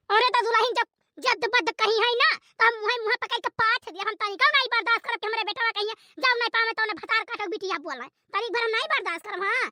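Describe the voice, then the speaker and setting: A woman's voice: extremely high pitch, noisy background. A woman voicing a fierce, quarrelsome old village mother-in-law in a cartoon, with a scolding manner. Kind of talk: cartoon voice acting.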